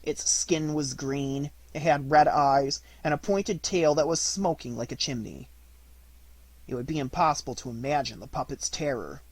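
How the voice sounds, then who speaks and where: Husky, higher-pitched man narrating a storybook. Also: moderate pace, no room sound, low-quality recording. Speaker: audiobook reader.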